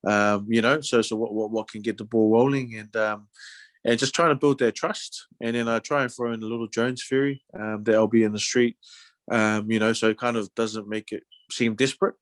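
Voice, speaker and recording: A man's voice: on the low side, fairly even intonation, moderate pace, dry recording. A man with a New Zealand accent talking in a casual, friendly sales style as a real estate agent, often padding his sentences with "you know". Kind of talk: casual, conversational sales talk.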